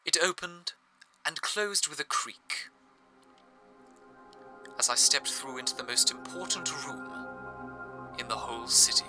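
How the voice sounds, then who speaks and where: A man's voice: medium pitch, measured pace, long pauses, over music, close to an everyday voice. A man playing an awestruck, devoted radio broadcaster, narrating in an earnest, reverent, descriptive way. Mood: absorbed. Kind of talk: scripted first-person radio narration.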